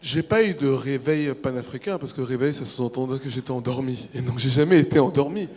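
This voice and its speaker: A man's voice: mid-range voice, darkish tone. Cameroon-born man in an echoing hall. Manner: measured and explanatory, with frequent restarts. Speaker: author on a panel. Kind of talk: answering questions at a public panel. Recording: long reverb from a large hall.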